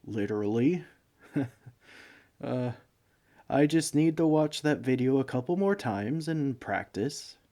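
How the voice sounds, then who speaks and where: A man's voice: mid-range voice, animated, slow, pauses often, dark tone. A man playing a bumbling, broke villain neighbor in a comedic audio roleplay, speaking in a hesitant, pleading, self-justifying way. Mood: pleading, sheepish. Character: broke, bumbling, sheepish male supervillain neighbor begging for sugar. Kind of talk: comedic ASMR roleplay monologue.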